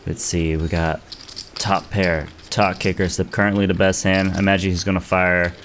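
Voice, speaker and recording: Low-voiced poker commentator, measured. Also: male voice, flat delivery, a bit bright, slight background noise. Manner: measured, analytical hand reading. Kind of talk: play-by-play commentary.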